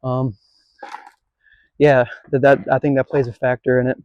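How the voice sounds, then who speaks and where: A man's voice: mid-range voice, level tone, normal speed, highs cut off. A man in his late twenties filming a casual skateboarding vlog, rambling and self-deprecating, swearing now and then. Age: 20s. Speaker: skateboarding vlogger.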